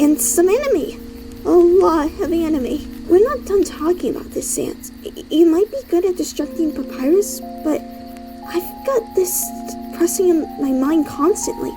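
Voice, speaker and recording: A woman's voice: high-pitched, poor audio. A woman voicing a nervous, nerdy female monster scientist in a comic dub, stammering and hesitant. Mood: flustered. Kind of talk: comic dub character acting. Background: background music.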